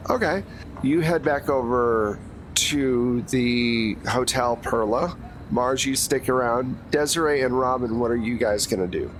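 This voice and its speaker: Male game master, mid-pitched, laid-back and conversational, noisy line. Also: measured pace. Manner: casual, loose narration with fillers. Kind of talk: running a tabletop horror game. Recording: noisy background hiss.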